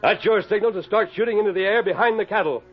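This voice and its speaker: A man's voice: high-pitched, quick pace, a little hiss or hum, natural voice. An actor playing a resolute masked western lawman in an old radio drama, urgent and commanding. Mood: firm, commanding. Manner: urgent, authoritative, clipped commands. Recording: dull lo-fi vintage radio recording.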